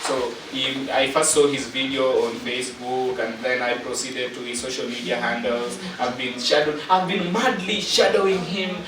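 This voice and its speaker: A man's voice: mid-range voice, fairly even intonation, normal speed, few pauses, background noise. Young Kenyan man, distant and echoey. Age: young adult. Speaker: guest. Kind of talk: casual interview. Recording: echoey room from far off with chatter behind.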